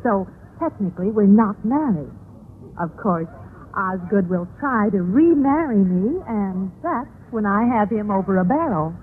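An actress playing an earnest, scheming middle-aged housewife in a chatty, confiding manner in a vintage radio sitcom. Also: female voice, mid-range voice, expressive, unhurried, close to an everyday voice. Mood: confiding, eager. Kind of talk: 1950s radio sitcom acting. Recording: tinny, noisy old-time radio recording.

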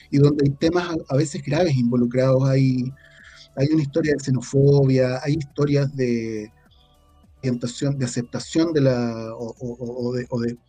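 A Chilean man speaking casually as an interview guest, halting and repeating words as he searches for his phrasing. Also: mid-range voice, fairly even intonation, mellow, breathy edge, faint music behind. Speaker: interview guest, audio drama writer.

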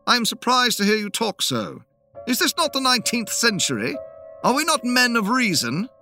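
A man reading the part of an earnest Victorian gentleman who leads a rationalist dining club, speaking in a declamatory manner. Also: very high voice, wildly swooping pitch, normal speed, faint music behind, voice pushed up, exaggerated voice. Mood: insistent.

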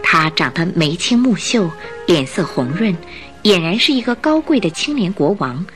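A woman narrating a radio drama in an expressive, scripted storytelling style. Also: on the low side, normal speed, soft background music.

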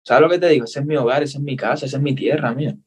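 A man's voice: mid-range voice, very fast, slightly rough. Earnest Cuban man sharing his story. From Cuba. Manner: earnest, repeats himself, says brother. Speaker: guest. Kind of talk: casual interview.

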